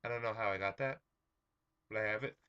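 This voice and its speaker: A young man hosting his own comic review vlog, slightly hoarse, chatting casually with lots of ums and uhs. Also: lowish voice, fairly even intonation, quick pace, sharp tone, highs cut off.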